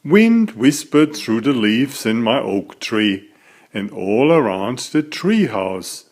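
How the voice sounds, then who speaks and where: A man reading a children's story aloud as its author, in an expressive, deliberate storytelling manner. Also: medium pitch.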